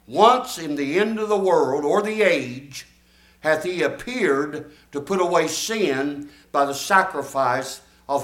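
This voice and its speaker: A man's voice: on the high side, a bit bright. A man, preaching a folksy, emphatic sermon and drawing responses from his congregation. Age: 70s. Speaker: pastor. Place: church. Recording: echoey church room.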